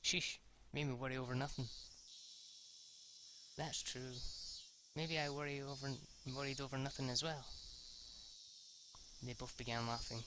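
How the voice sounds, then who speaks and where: A young man reads a visual novel aloud in a casual, unpolished way, often tripping over words and restarting sentences. His voice sits at a middle pitch. Insects and crickets chirp clearly in the background of his homemade recording. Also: little pitch movement, noisy background. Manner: reads haltingly, restarts lines and swears at slips. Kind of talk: casual let's-read narration.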